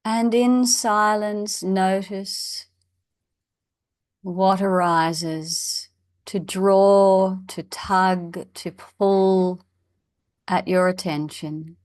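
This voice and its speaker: A woman leading a guided meditation as a teacher, in a measured, instructional way with long pauses. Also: medium pitch, steady tone, a little mellow, no echo.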